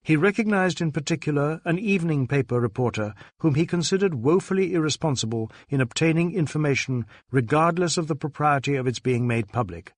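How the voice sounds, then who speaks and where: A man reading a novel aloud as scripted narration, in a measured, formal storytelling manner. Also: a little high, lively intonation, no room sound, studio quality. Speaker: audiobook narrator.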